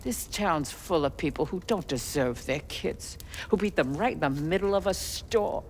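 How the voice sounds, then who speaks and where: Bitter woman, wildly swooping pitch. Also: expressive, moderate pace, a little hiss or hum. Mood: bitter grief. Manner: bitter, intense. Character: bitter, grieving older mother, defiant and wounded. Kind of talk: dramatic video game villain acting.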